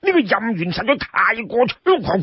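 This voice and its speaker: A man's voice: high voice, very animated, normal speed, husky, no room sound, highs cut off, exaggerated voice. A man voicing a hot-tempered male outlaw in Cantonese, blustering and threatening in a storybook tale. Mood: furious. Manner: explosive, threatening.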